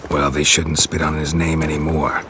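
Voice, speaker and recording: An actor playing a weary, gruff witcher in a video game, gravelly, dry and laconic. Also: extremely low pitch, flat delivery, moderate pace, fairly bright tone, a little hiss or hum. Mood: flat, matter-of-fact. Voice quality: gravelly, husky. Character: weary gruff male witcher, sardonic monster hunter.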